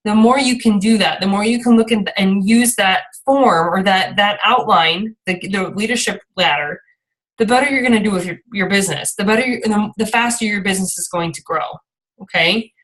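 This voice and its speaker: A woman's voice: medium pitch, moderate pace, a bit bright, low-quality recording. Encouraging woman coaching her team. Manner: encouraging, rambling, often checks in with "okay?". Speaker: team leader and coach hosting a call. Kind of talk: informal motivational team training call.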